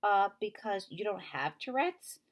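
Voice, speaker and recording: A woman's voice: medium pitch, animated, unhurried. A woman voicing a skeptical, reluctant schoolboy in a children's novel read aloud, with a guarded, grudging manner.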